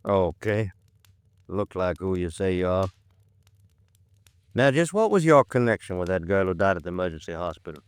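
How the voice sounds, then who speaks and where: An American male police lieutenant in an old radio detective show. His low voice is clear and resonant, with a dry, close sound. He probes skeptically in plain, folksy speech, dropping words and endings. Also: big pitch swings, moderate pace, long pauses, dry recording. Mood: guarded. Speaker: police detective questioning a witness. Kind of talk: old-time radio noir detective drama acting.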